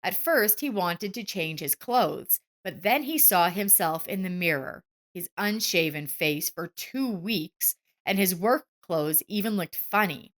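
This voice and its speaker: A woman's voice: fairly low pitch, animated, unhurried, hardly any pauses, a bit bright. A woman narrator reading a scripted story.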